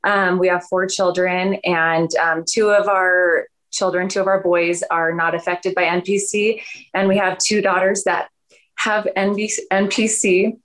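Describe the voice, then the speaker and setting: Friendly woman with a lowish voice. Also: flat delivery, a little slow, a bit bright, rough recording. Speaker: parent panelist.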